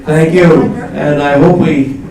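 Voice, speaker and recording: A man's voice: a little high, fairly even intonation, unhurried. A man in his eighties chairing a town council meeting, chatty and reassuring, with a joking manner. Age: 80s. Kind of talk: casual town meeting talk. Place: borough council meeting room. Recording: echoey hall, far from the mic, crowd murmur.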